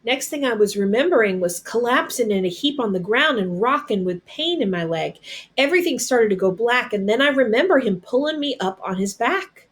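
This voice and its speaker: A woman's voice: on the high side, animated, fairly quick. A woman narrating a storybook expressively in a first-person read-aloud. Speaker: storybook reader.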